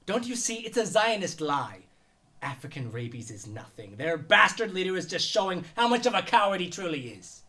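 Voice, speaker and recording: A man's voice: high-pitched, big pitch swings, moderate pace, bright, forceful tone, exaggerated voice. A man playing a defiant, distrustful young son arguing in an audio drama. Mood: scornful. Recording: slightly distant from mic.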